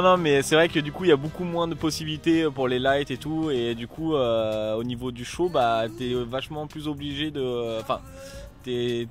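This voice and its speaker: A man of middling pitch rambles casually about playing festivals, piling on fillers and slang. Music sounds clearly behind him. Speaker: band member.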